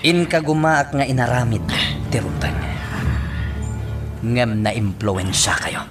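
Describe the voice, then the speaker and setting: Stern police chief over loud music. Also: male voice, mid-range voice, expressive, slow pace. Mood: stern, menacing. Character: stern, threatening police chief, adult man. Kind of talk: Ilocano radio drama acting. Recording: loud music bed behind the voice.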